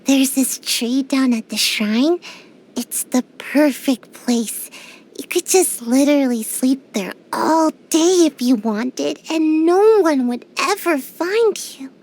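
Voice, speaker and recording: A woman's voice: sits high, takes it slowly, darkish tone, low-quality recording. A woman voicing a tiny, sleepy young ninja girl in a game, drowsy and a little whiny, shy but grumpy. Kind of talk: game character voice lines.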